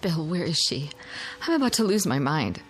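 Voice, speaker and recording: A woman's voice: low-pitched, very animated, moderate pace, faint music behind, poor audio. An actress playing a distraught mother in a radio drama, with an anguished, pleading delivery. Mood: fraying nerves. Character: distraught mother searching for her missing daughter.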